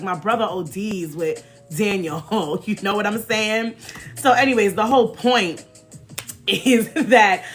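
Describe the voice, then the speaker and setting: An American woman in her thirties chatting casually as a livestream host, telling stories in an animated, playful, teasing way. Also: mid-range voice. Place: small indoor room in front of a banner.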